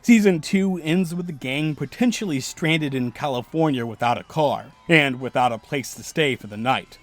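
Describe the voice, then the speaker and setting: A man narrating a video essay in a measured, analytical way. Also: a little high. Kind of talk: scripted narration.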